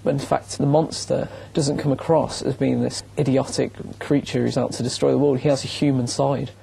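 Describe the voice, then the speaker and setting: A man's voice: mid-range voice, little pitch movement, moderate pace, creaky, mellow, breathy edge. A young man giving an earnest, considered book review as a student.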